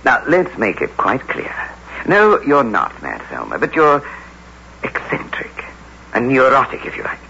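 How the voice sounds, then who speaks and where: Creaky, condescending radio-drama husband. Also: male voice, mid-range voice, wildly swooping pitch, measured pace, pushed and bright, heavily acted. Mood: impatient. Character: condescending, exasperated husband, smooth and evasive. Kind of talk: 1940s-50s radio drama acting. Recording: dull old radio recording, faint noise.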